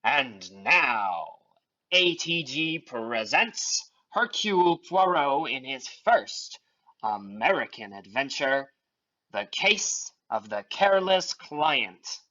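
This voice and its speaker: Old timey radio announcer, dramatic, real slow n forceful, bit muffled recording. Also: mid-range voice, very animated.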